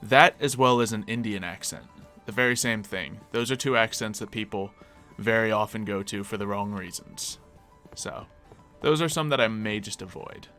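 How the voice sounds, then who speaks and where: A man's voice: lowish voice, moderate pace, bright, forceful tone, faint music behind. A man with a slight Philadelphia accent chatting casually on a live stream while he plays, musing aloud and laughing easily.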